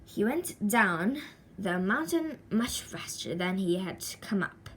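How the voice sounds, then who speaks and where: A woman reading a children's story aloud in an expressive, deliberate way with a sing-song lilt. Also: medium pitch, slight background noise. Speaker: storybook reader.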